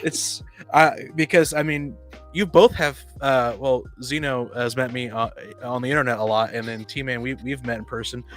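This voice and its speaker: A man's voice: mid-range voice, fairly even intonation, normal speed, fairly bright tone. Blunt, opinionated man with music behind. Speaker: podcast panelist. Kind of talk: casual opinionated discussion of tabletop roleplaying games.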